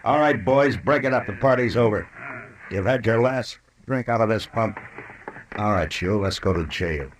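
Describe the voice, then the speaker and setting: An actor playing a tough, coolly menacing western marshal in an old-time radio drama, laconic, sardonic and commanding. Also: male voice, fairly low pitch, animated, normal speed, crowd noise, natural voice.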